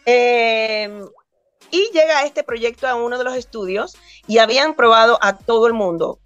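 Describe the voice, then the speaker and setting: A Cuban-born woman in her fifties, a voice actor, telling stories in an animated interview over a video call. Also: fairly high pitch, moderate pace, dry recording, lo-fi sound, faint music behind. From Cuba. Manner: animated, gestures a lot while talking. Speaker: voice actor guest. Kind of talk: remote interview, storytelling.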